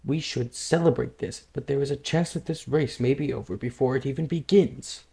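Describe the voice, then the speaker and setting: A man playing a formal, serious official in a comic dub, speaking in a measured way. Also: medium pitch, vocal fry, warm tone, close to an everyday voice. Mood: concerned. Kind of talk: comic-book dub voice acting.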